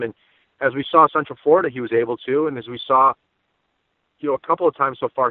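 Male sports guest, opinionated and conversational, brisk delivery, thin telephone-band sound. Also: mid-range voice, dry recording. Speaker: sports radio analyst and guest. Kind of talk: sports podcast interview commentary. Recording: dry, phone-like narrow band.

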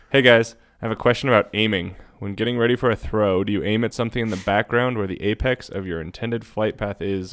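A man co-hosting a podcast, explaining things informally and thinking aloud as he goes. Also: fairly low pitch. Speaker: podcast co-host. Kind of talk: casual podcast chat and explanation.

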